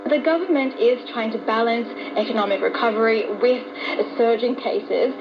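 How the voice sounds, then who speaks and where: A woman's voice: a little high, moderate pace, background noise. A woman giving a news report as a correspondent, on a dull shortwave radio broadcast. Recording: dull shortwave radio with loud musical interference.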